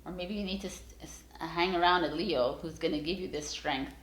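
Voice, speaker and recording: A woman's voice: lowish voice, a little fast, fairly bright tone, reverberant, low-quality recording. A woman giving a casual, encouraging tarot reading straight to her viewers, rambling with many fillers and restarts. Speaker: tarot reader.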